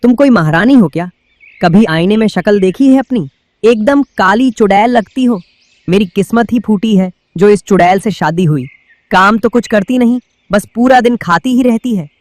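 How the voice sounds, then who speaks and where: A man's voice: high voice, normal speed, dry recording, close to an everyday voice. A man voicing a grumbling, henpecked male bird husband in a children's cartoon, with a whining, exasperated manner. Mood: angry, scornful.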